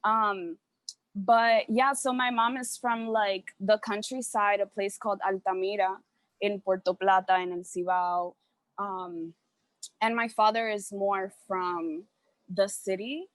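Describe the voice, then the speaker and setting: A woman's voice: mid-range voice, fairly even intonation, unhurried, no room sound. A woman from New York talks in a frank, emphatic way, dropping casual swears. Her voice sits mid-range and sounds dry and close over a video call. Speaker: panelist artist. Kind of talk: casual panel chat.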